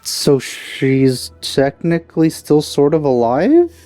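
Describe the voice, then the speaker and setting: A man's voice: fairly high pitch, big pitch swings, takes it slowly, dark tone, faint music behind. A man giving casual let's play commentary in a musing, understated, tentative way.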